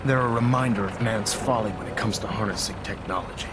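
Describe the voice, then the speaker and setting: An actor playing a stern, zealous military commander in a post-apocalyptic game, speaking formally and with authority. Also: male voice, on the low side, fast, heavy background noise, natural voice. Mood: stern, commanding. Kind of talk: video game voice acting.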